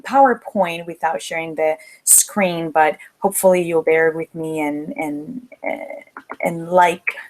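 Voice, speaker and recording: A woman's voice: on the low side, low-quality recording. Young woman, dominican spanish accent, peppy webinar host chatting about goals into a computer mic. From Dominican Republic. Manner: upbeat, motivational, chatty with fillers. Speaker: webinar host, planner business owner. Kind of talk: live webinar presentation.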